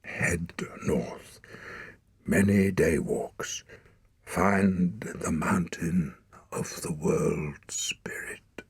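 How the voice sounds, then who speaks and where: A man voicing a dying hunter father, breathless, speaking in faint, halting whispers. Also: low voice, vocal fry, husky, no echo, clean studio sound, heavily acted. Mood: grave.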